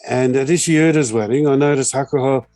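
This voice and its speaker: A man's voice: mid-range voice, brisk, darkish tone, no room sound. A man, co-hosting a podcast and reading out a playful, wry introduction of a guest. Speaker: podcast co-host.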